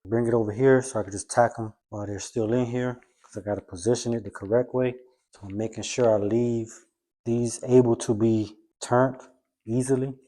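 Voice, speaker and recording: A man's voice: medium pitch, fairly even intonation, normal speed, mellow. A man hosting a car-build vlog, casually explaining his hands-on work as he goes. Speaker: host of a car-build video channel. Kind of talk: casual how-to vlog narration while working.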